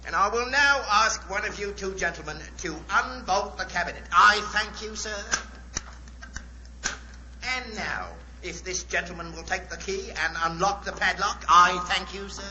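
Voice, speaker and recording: A man's voice: sits high, normal speed, lightly acted. A man playing a showy stage magician in 1940s radio drama, speaking in a grandiose, announcing manner. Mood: grand, showy. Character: showy male stage magician, theatrical showman. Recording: muffled, very noisy 1940s radio recording.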